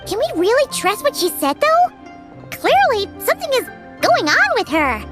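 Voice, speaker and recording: A woman voicing a tiny, childlike fairy companion in a video game, squeaky, chirpy and inquisitive. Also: big pitch swings, moderate pace, over loud music. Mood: doubtful. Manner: squeaky, refers to herself by name. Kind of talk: animated video game acting.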